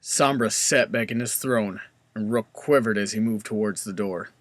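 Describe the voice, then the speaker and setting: A man's voice: fairly low pitch, normal speed, very noisy. A man narrating a fan fiction story in a scripted storybook style.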